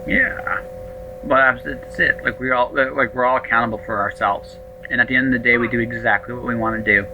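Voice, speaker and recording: A man in his late thirties hosting an interview podcast, chatting casually in an animated, excitable way and stumbling over his words. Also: mid-range voice, brisk, background noise. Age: 30s. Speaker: podcast host.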